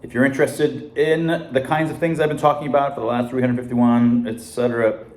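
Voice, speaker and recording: A man's voice: medium pitch, flat delivery, brisk, darkish tone. A man in his fifties hosting a science show, talking casually alone, rambling with many fillers and restarts. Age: 50s. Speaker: science podcast host. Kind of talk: casual solo monologue. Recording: echoey room with faint noise.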